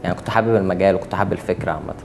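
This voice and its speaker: A man's voice: low-pitched, very fast. A 22-year-old man with an Egyptian accent, reflecting on his experience in a testimonial and searching for words. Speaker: intern. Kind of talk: testimonial interview. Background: music.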